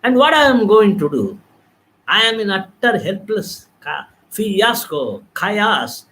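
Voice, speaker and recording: A man's voice: high-pitched, sing-song, slow pace, sharp tone, full character voice. A man voicing Narada, a man lamenting the loss of his wife and children and pleading for help. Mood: despairing. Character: grieving man who lost his family, despairing.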